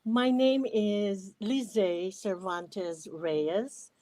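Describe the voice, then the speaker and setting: A woman in her sixties with a Filipino accent, speaking reflectively and deliberately as an interview guest. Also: mid-range voice, fairly even intonation, dark tone. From Philippines. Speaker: podcast guest, retired educator.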